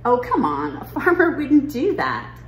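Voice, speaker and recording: A woman's voice: high voice, huge pitch swings, measured pace, voice pushed up, heavily acted. Storybook mother, played by a woman: incredulous, eager, emphatic questioner, recorded in a roomy space with noise. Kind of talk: children's picture book read-aloud. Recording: roomy living room with noise.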